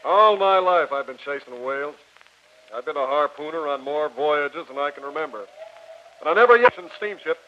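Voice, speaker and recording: An actor playing a plainspoken, hardy whaling harpooner, folksy and blunt, in old-time radio drama. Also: male voice, on the high side, moderate pace, lightly acted. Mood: skeptical. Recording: noisy, muffled old radio recording.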